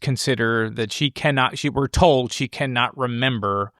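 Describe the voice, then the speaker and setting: A man with a middle-pitched voice hosts a podcast in a casual, conversational way. The sound is close and dry, as he discusses a film. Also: normal speed, fairly bright tone, no echo. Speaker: podcast host.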